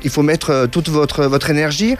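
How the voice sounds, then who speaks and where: A man's voice: on the high side, steady tone, moderate pace, faint music behind. A man hosting a radio call-in consultation, reassuring callers while hesitating with many fillers and restarts. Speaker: radio clairvoyant host taking calls.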